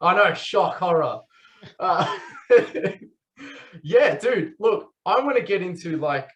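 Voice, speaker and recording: A man co-hosting a podcast, talking in a laid-back, slangy way. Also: fairly high pitch. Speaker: podcast co-host. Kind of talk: casual podcast chat.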